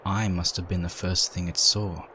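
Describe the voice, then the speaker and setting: A man reading a dark story aloud in a measured, dramatic narration. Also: deep voice, little pitch movement, a little hiss or hum.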